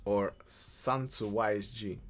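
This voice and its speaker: A young man with an Eastern European accent, answering chat questions on a game live stream in a relaxed way, thinking aloud as he goes. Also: on the low side, slow pace, faint music behind.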